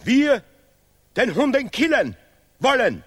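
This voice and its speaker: An actor playing a pompous, sentimental patriotic scholar in an absurdist radio play, declaiming haltingly in broken grammar. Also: male voice, very high voice, sing-song, fairly bright tone, husky, heavily acted. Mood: alarmed, excited. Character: pompous male Nobel laureate scholar, bombastic, sentimental patriot.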